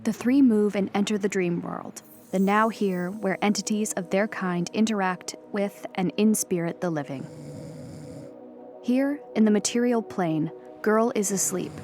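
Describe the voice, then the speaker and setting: A woman's voice: medium pitch, a little slow, a little mellow, soft background music. A woman narrating an audio play, reading stage directions as scripted dramatic narration.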